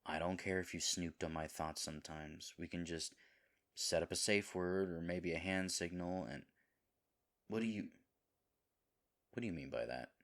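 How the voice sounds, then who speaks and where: A man playing a sweet, dim himbo boyfriend in an audio roleplay, talking in a halting, rambling, flustered and earnest way. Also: sits low, fairly bright tone. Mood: tender, bewildered. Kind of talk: audio roleplay acting, intimate one-sided dialogue.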